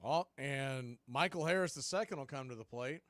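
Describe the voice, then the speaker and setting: A man calling live baseball play-by-play on the radio, in a brisk, conversational way that tracks every pitch and count. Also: fairly bright tone, no echo.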